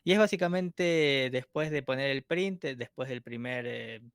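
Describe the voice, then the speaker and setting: A casual, chatty man co-teaching an online workshop, quick to laugh. His pitch is ordinary for a man. The sound is dry and close, as on a video call. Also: a bit bright, clear, resonant tone, no echo. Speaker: co-instructor.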